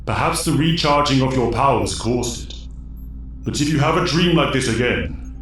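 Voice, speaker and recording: An ancient, wise mentor spirit for an audio drama. He speaks in solemn, formal sentences with swooping pitch, drenched in heavy echo over music. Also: animated, moderate pace, a bit bright. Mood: calm, pondering. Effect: booming echo.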